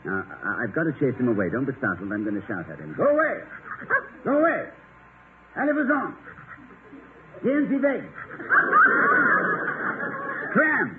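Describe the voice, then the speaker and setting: An actor playing an erudite, flustered professor husband in 1950s radio comedy, wordy and stammering with exasperated politeness. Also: male voice, a little high, animated, moderate pace, pauses often, natural voice. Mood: shouting. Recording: tinny, noisy 1950 radio recording.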